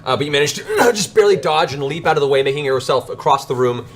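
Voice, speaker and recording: A man's voice: fairly high pitch, lively intonation, fast. Male game master, dramatic narration over music. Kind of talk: dramatic improvised narration of a tabletop fantasy game. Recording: music behind the voice. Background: background music.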